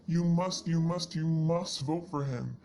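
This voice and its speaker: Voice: mid-range voice, unhurried, darkish tone, close to an everyday voice. A performer playing a confident, commanding outgoing president in an amateur history puppet show. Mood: insistent.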